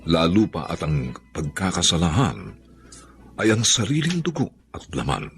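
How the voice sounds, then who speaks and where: A man's voice: sits low, big pitch swings, measured pace, creaky. A man narrating a radio drama in a solemn, theatrical, poetic scripted style. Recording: faint music bed under voice.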